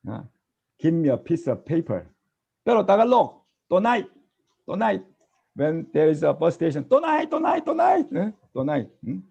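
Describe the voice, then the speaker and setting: A middle-aged Korean-accented man lecturing a class with a lively, swooping delivery. His voice is rough with fry and a husky, dark tone. He keeps checking in with tag questions. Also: a little high, moderate pace. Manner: animated, tag questions, mimics students' replies. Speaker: language teacher. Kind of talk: classroom lecture mixing English and Tagalog.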